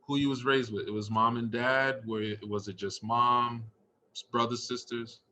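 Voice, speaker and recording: A man's voice: mid-range voice, steady tone, moderate pace, pushed and bright, slight background noise. A man hosting an interview series, speaking in an encouraging, empathetic way to his guest and listeners. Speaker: interviewer and host of a school staff story series.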